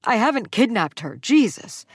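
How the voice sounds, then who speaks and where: A woman's voice: fairly high pitch, big pitch swings, moderate pace, slightly rough, no echo, voice pushed up, exaggerated voice. A woman voicing an exasperated middle-aged father who pleads wearily, in a dry close recording. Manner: pleading, weary.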